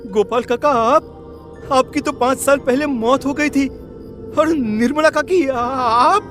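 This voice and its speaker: A man playing an earnest, anxious young villager in a cartoon story, halting and pleading. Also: very high voice, fairly bright tone, faint music behind, exaggerated voice. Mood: shocked. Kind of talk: Hindi cartoon story acting.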